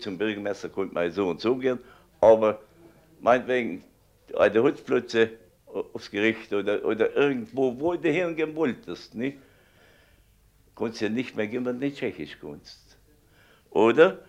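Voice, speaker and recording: A man's voice: mid-range voice, vocal fry. An older man recalling the past off the cuff as an eyewitness, rambling and repeating himself with frequent tag questions. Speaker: eyewitness interviewee. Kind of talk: spontaneous spoken recollection.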